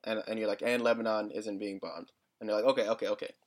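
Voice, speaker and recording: A man's voice: on the low side, steady tone, fast. A young man co-hosting a podcast in casual chat, talking hesitantly with lots of "like" and small laughs. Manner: hesitant, many "like" fillers, chuckles. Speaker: podcast co-host.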